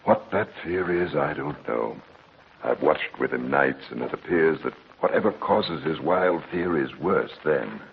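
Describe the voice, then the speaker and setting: Very deep, gravelly country doctor. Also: male voice, expressive, narrow, tinny audio, heavily acted. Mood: solemn, troubled. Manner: measured, solemn, paternal. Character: grave, kindly male country family doctor. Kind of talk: old-time radio drama acting. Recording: thin noisy old radio recording.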